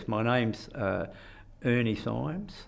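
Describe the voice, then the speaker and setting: A man in his sixties with an Australian accent, speaking plainly and haltingly in a documentary interview as a citizen juror. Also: medium pitch, lively intonation, gravelly. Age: 60s. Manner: plain-spoken, halting, with fillers.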